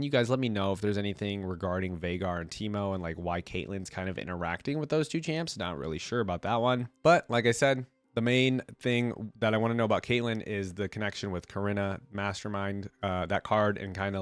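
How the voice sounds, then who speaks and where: A man's voice: on the low side, clean tone, no echo. A man giving casual, rambling fan commentary as a reaction video host, his voice nasal and congested from a cold. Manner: rambling, hedging, informal.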